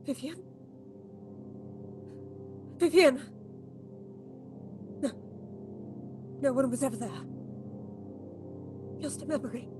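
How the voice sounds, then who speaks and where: A woman acting in an audio horror drama as a proud, haunted theatre director, husky and commanding in a clipped, theatrical way. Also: sits high, slow pace, pauses often, a little mellow, faint music behind. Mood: shaken. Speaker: actress.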